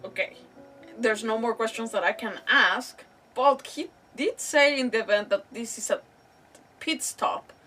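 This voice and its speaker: A woman chats casually on a game livestream. Her voice sits fairly high and sounds a little away from the microphone. She rambles and cuts into her own sentences as she goes. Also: a little slow, bright, forceful tone, distant mic, soft background music. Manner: rambling, self-interrupting. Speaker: game streamer. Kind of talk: casual livestream chat and reactions over a game.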